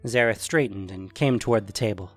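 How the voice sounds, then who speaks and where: A man's voice: fairly low pitch, a little fast, soft background music. A man narrating a scripted fantasy story as its storyteller.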